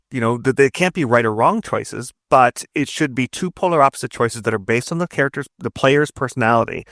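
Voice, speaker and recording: A man's voice: mid-range voice, fairly bright tone, no echo. Man explaining his craft, dry close sound. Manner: emphatic, explanatory, often tags points with "right?". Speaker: guest narrative designer and game writer. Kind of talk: conversational interview advice.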